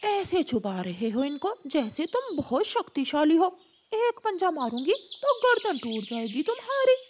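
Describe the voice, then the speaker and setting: A woman's voice: sits high, big pitch swings, a little slow, darkish tone, a little hiss or hum. A woman voicing a big, boastful female eagle, a hungry and menacing cartoon predator. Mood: mocking, threatening.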